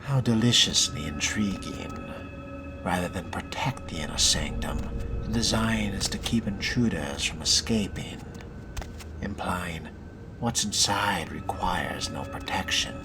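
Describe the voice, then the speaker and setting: A man's voice: sits low, animated, unhurried. A man voicing a wry, inquisitive vampire fledgling in a video game role-play, articulate, musing and sardonic. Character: wry, inquisitive young male vampire fledgling with refined diction. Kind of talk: role-played game narration and dialogue. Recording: loud background music.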